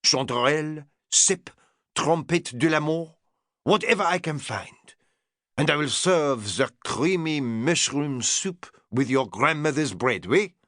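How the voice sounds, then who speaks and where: A man's voice: a little high, animated, a little slow, a bit bright, no room sound, studio quality, pitched above the performer's own voice. A man voicing a short, rotund French chef with a strong French accent, proud and theatrical. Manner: indignant, theatrical.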